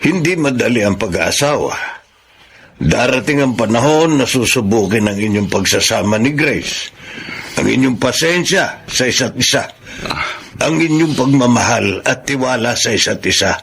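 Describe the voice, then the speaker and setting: A man playing a practical older uncle in a radio drama, gravelly and husky, giving measured fatherly advice. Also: medium pitch, lively intonation, a bit bright. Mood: fatherly, solemn. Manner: measured, advising.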